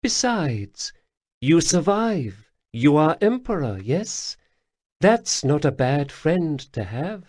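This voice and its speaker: A man's voice: on the high side, very animated, takes it slowly, darkish tone, no echo, studio quality, voice pushed up, heavily acted. A man voicing a female Khajiit caravan leader, speaking in formal, slightly broken phrasing. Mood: earnest, grateful. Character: female Khajiit cat-folk caravan leader, proud, grateful. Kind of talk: audiobook character voice.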